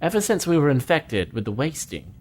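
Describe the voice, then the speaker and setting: A man playing a starship lieutenant, earnest, polite and measured. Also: on the high side, sing-song, darkish tone, a little hiss or hum. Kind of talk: fan audio drama acting.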